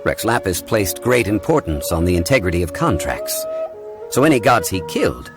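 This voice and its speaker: A composed, erudite gentleman from a video game. His low voice moves expressively through formal, measured phrases. Music plays clearly underneath. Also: very animated. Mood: composed, courteous. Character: calm, learned, dignified man, a history consultant. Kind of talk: scripted video game dialogue.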